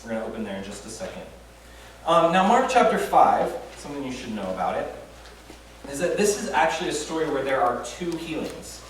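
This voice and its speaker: A man's voice: mid-range voice, very animated, a little fast, distant mic, a little hiss or hum. Chatty male pastor in an echoey room. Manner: conversational, chatty with fillers and self-deprecating humor. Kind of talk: casual sermon at a retreat.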